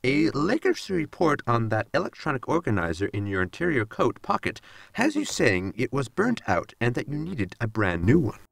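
Man playing a creaky, wildly swooping formal android. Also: mid-range voice, very animated, moderate pace, dry recording, exaggerated voice. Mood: politely needling. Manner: formal, matter-of-fact, deferential "sir". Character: blunt, literal male android servant, politely needling. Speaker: voice actor in audio drama. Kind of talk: sci-fi sitcom audio drama acting.

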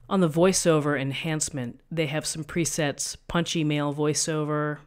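Middle-aged woman, thin voice, calm step-by-step explaining, desk mic, tutorial host. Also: low voice, clear, resonant tone. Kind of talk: screen-recorded software tutorial. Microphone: USB desk microphone.

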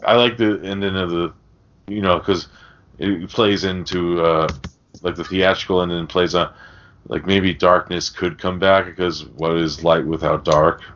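A man's voice: low-pitched, fairly even intonation, unhurried, a bit bright, a little hiss or hum. A man co-hosting a podcast, discussing film casually in a rambling, sweary, conversational way. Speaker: podcast co-host. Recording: dull, lo-fi podcast audio.

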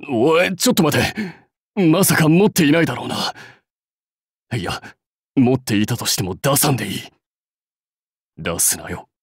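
A proud warlord from a fantasy game, devoted to his clan. He speaks curtly and with complete self-assurance, as one used to command. The pitch is average for a man, and the sound is dry and close. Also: very animated, normal speed, long pauses, husky, dry recording. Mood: gruff, wary. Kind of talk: Japanese mobile game character lines.

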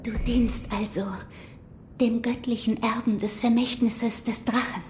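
An actress playing a gentle, mystical shrine maiden in an audio drama, speaking in a solemn, measured, hushed manner. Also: female voice, fairly high pitch, level tone, background noise, close to an everyday voice.

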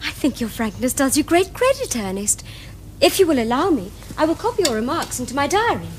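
A woman's voice: sits high, sing-song, fairly quick, a little hiss or hum. An actress playing a prim, romantic young Victorian lady in a period comedy, with precise, formal Received Pronunciation. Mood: prim, eager. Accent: English Received Pronunciation. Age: young adult. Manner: precise, formal, earnest diction. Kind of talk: period stage comedy acting for television.

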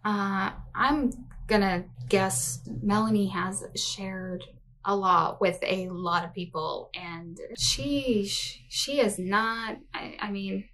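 A woman's voice: mid-range voice, slow, fairly bright tone, rough recording. A woman in her forties giving casual, sarcastic reaction commentary, blunt and sweary, with a mocking edge. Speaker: host of a reaction commentary show. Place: small home office or craft room.

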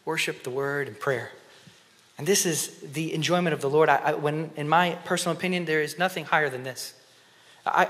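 Man in his thirties preaching from a church stage with a headset mic, bright voice, passionate, drops to whispers, hall reverb. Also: fairly high pitch. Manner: impassioned, shifts between loud emphasis and hushed whispered prayer. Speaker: conference speaker. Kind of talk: sermon. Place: church stage before an audience.

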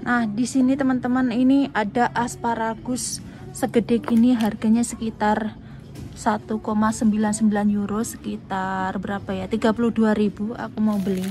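A high-pitched woman, in her thirties, chats casually to her viewers like friends. She speaks as a family vlogger out shopping, recorded on a handheld camera with music in the background. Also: level tone, moderate pace, warm tone. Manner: chatty, addressing viewers as friends. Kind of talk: casual shopping vlog chat. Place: outdoors by roads and car parks, then inside a supermarket. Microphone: handheld phone or camera at arm's length.

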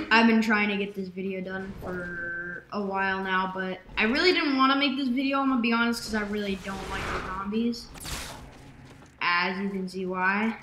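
A young woman giving casual gameplay commentary, rambling with many fillers, her voice slightly raspy from dehydration. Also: medium pitch, normal speed, echoey room, noisy background. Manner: rambling, filler-heavy, excitable. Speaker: gaming YouTuber.

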